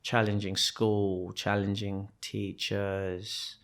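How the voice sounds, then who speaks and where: Low-voiced man, rambling and reflective. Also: little pitch movement, takes it slowly. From Kenya. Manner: rambling, with many restarts and fillers. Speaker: guest. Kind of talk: reflective conversational storytelling.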